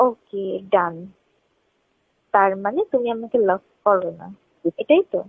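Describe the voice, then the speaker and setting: A woman's voice: mid-range voice, expressive, measured pace, dry recording. A woman in a confrontational phone conversation, speaking insistently and pressing with repeated questions. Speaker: caller. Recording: tinny narrowband phone call recording.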